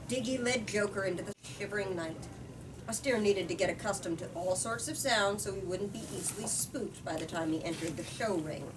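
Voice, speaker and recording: Female storyteller, dramatic and emphatic host reading excerpts; distant pickup, clear room noise. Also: medium pitch. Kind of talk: expressive storybook read-aloud with discussion. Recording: distant mic, noisy room ambience.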